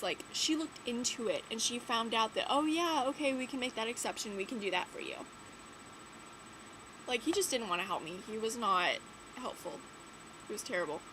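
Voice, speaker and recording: A woman's voice: on the high side, animated, brisk. A teenage girl, chatting casually in a vlog, rambling with lots of "like" and "um", her nose a bit stuffy from a cold. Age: late teens. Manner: rambling, many "like" and "um" fillers. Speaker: vlogger. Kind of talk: casual chat to camera. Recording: audible background noise.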